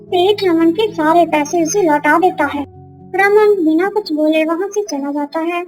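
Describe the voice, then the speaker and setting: A woman's voice: very high-pitched, unhurried, a little mellow. A woman narrator reading a scripted children's moral story. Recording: faint music behind the voice.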